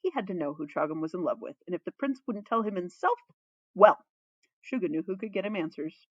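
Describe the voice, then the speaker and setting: Woman reading fanfic out loud, playful n super animated pitch, kinda muffled lo-fi mic. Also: fairly quick, dry recording. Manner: expressive, playful, informal. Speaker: amateur fan fiction reader. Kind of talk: storybook narration with casual asides.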